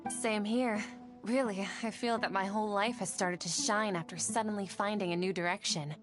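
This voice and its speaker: A woman's voice: mid-range voice, steady tone, normal speed, natural voice. A woman playing an earnest, idealistic scholar and teacher in a video game, polite and articulate. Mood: modest, pleased. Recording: music under the voice.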